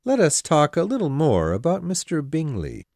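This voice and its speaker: A woman voicing a calm, dryly teasing older gentleman and father, speaking in an unhurried, mildly mocking way. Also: low voice, no echo, studio quality, heavily acted. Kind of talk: audiobook character voice.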